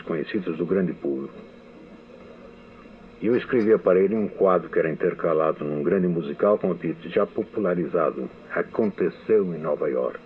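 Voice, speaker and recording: An older man, a radio writer, reading his memoirs in a measured, recollecting way for a radio testimony. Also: sits low. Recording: tinny archival radio recording.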